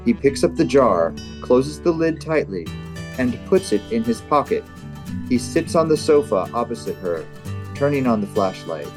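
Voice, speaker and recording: A man hosting a comedy table read, narrating stage directions and chatting casually. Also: medium pitch. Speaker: comedy show host and script narrator.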